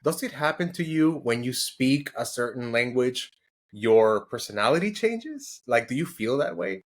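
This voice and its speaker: A man with a mid-range voice hosting an interview. He talks in a loose, chatty way, full of "like" and "you know". His questions come out relaxed and conversational. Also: medium pitch, normal speed. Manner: casual and chatty, many "like"s. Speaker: podcast host.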